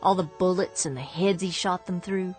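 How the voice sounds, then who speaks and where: An actress playing a lonely, grieving war widow, speaking quietly. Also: female voice, lowish voice, moderate pace, soft background music, close to an everyday voice. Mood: vengeful. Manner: quiet, grieving, turns hushed and vengeful. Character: lonely, bitter war widow on a ruined farm. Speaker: actress in a radio drama. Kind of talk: radio drama acting.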